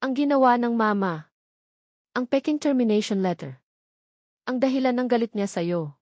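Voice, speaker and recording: A woman voicing a wealthy adult son in an audio drama, speaking calmly and earnestly, with remorseful pleading. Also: on the high side, normal speed, no room sound, clean studio sound, lightly acted. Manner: calm, earnest, weighty delivery.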